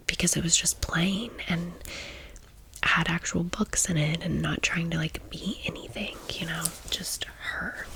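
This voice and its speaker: A woman whispers her way through an informal ASMR chat at a very slow pace. She is in her thirties and talks like a host confiding in friends, chatty and casual, in a lowish voice. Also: gravelly, rough recording. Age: 30s. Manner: chatty, informal, gushing asides. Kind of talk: whispered ASMR storytime and haul.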